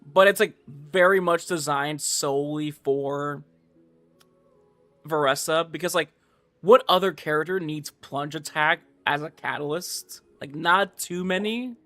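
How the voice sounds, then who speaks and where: A man's voice: a little high, measured pace, pushed and bright, faint music behind. A man livestreaming a game, chatting casually and giving commentary in a slangy, informal way full of filler words. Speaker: livestreamer playing a gacha game.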